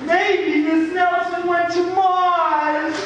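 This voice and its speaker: A woman's voice: very high voice, level tone, very slow, full character voice. A woman playing an eager schoolchild in a children's readers theater stage play. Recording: noisy, echoey hall, far from microphone.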